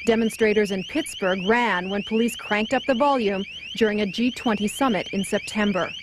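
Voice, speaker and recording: A woman's voice: medium pitch, normal speed. A woman giving a broadcast news report as a TV reporter. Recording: clear background street and vehicle noise.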